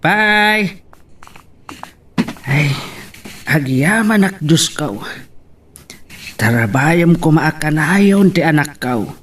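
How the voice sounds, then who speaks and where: An actor voices an older father with an Ilocano accent in a family drama. His delivery is slow and fatherly, in a somewhat high male voice. He sounds slightly off the microphone. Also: lively intonation, a bit bright, recorded from a distance, slight background noise, exaggerated voice. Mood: caring, admonishing. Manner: unhurried, fatherly. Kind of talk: Ilocano audio drama acting.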